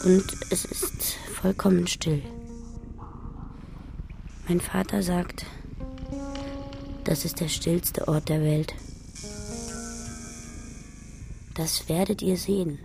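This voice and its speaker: An actress in a radio drama playing a pensive daughter who narrates her memories in a hushed, contemplative way. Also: lowish voice, fairly even intonation, takes it slowly, vocal fry, pauses often, music in the background. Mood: quiet, reflective.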